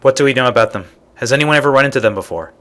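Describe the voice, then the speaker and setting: An actor playing a brisk, forthright man in a space crew in a video game. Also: medium pitch, expressive, fairly bright tone, natural voice. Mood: impatient, questioning. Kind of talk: video game character acting.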